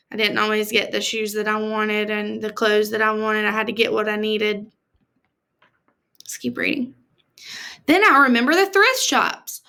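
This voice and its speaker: Lady teacher doing a kids read-aloud, high bright voice, takes her time explaining. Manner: deliberate, explanatory, addressing children directly. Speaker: teacher reading a picture book aloud to children. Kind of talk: expressive storybook read-aloud with teacherly asides.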